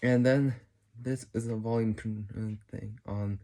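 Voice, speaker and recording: A man hosting a casual hands-on how-to video indoors, explaining in a halting, informal way. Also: medium pitch, steady tone, dark tone. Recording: noisy room with clicks and handling sounds.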